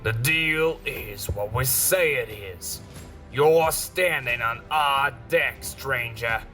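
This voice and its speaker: An actor playing a hostile, commanding armed sentry with a curt manner in a sci-fi military audio drama. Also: male voice, mid-range voice, slow, bright, forceful tone, faint music behind. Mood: hostile, stern. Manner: curt, barking orders.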